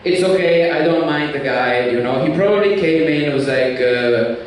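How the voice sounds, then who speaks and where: A young man from Italy with an Italian accent, doing a loose, teasing stand-up comedy set on a club stage and jumping into high mocking voices. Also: normal speed, recorded from a distance. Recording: echoey live room, miked from afar, hall noise.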